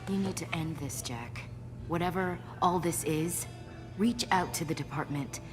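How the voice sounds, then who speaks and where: Earnest, concerned female friend in game drama, low soft voice over clear background score. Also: measured pace, over music. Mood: worried, pleading. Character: worried, caring woman pleading with a troubled cop friend. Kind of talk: dramatic video game cutscene acting.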